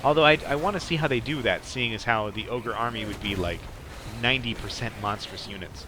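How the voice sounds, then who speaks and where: Young man, low pitch, rambling casual gameplay commentary, clear game noise in the background. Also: fairly quick. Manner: rambling, peppered with "like". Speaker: podcast host playing a strategy game.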